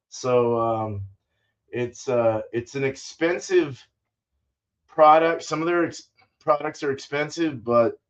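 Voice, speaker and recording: Older man, dull lo-fi livestream sound. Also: mid-range voice. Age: older, fifties or sixties. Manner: laid-back, salty-mouthed, says dude and man often. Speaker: livestream host, homebrewer. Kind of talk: casual rambling livestream chat. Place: small indoor home brewing room.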